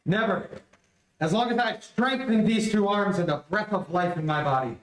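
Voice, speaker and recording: A man's voice: sits high, normal speed, natural voice. A man playing a brave, steadfast space hero in an old-time radio serial, earnest and heroic. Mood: defiant. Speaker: amateur radio play actor. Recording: echoey, distant streamed stage audio.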